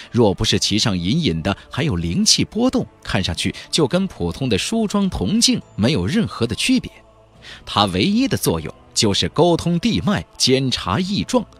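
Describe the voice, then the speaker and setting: A man's voice: mid-range voice, a bit bright, husky. A man narrating a fantasy novel as a dramatic scripted audiobook.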